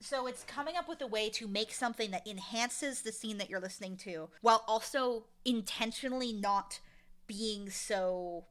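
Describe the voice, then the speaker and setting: A woman chatting casually as an interview guest, explaining her craft in an animated, emphatic way. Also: on the high side, normal speed. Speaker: interview guest, audio drama creator and casting director.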